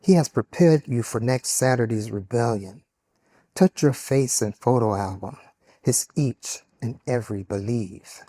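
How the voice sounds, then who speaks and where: A man reading his own poems aloud at a literary panel, slow and deliberate in his delivery. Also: medium pitch, mellow. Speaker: poet. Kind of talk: poetry reading.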